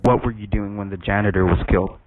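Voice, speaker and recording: A man's voice: fairly low pitch, steady tone, fast, phone-quality sound, close to an everyday voice. A man playing a blunt, weary police detective with a plain, matter-of-fact delivery in an amateur radio play, on a noisy mic. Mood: businesslike. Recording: noisy home microphone with clicks.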